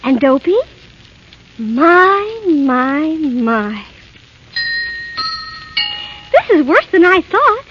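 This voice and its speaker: Old noisy radio play: young princess, extremely high, slow and lilting, sweetly motherly. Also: very animated, exaggerated voice. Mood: gently scolding. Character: sweet young fairy-tale princess, motherly and gently bossy. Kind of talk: 1930s radio fairy-tale acting. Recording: muffled, noisy 1930s radio recording.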